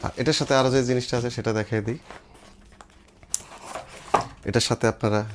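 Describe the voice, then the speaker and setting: A man's voice: medium pitch, steady tone, normal speed, slight background noise. A man with a Bangladeshi accent giving an informal sales demonstration, explaining things step by step with repetition and English trade words. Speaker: seller presenting products for an electronics shop.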